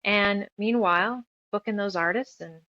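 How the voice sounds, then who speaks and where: A woman's voice: medium pitch, moderate pace, sharp tone, dry recording. Woman from New Mexico, slightly dull audio. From New Mexico, United States. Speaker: interview guest, author and radio show producer. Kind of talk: casual interview conversation, personal reflection.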